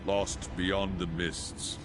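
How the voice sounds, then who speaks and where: An actor playing a stoic, loyal angel warrior on guard, speaking in a measured, formal way in a video game. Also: male voice, low-pitched, flat delivery, over music. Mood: solemn. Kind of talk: video game cutscene acting.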